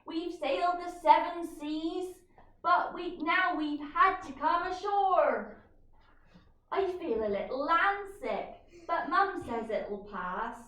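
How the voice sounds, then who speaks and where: A high, swooping young pirate lad from a storybook, acted out by a woman. He is jolly, cheeky and bold, heard from off in a very echoey room. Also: a bit bright, exaggerated voice. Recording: echoey room, distant mic.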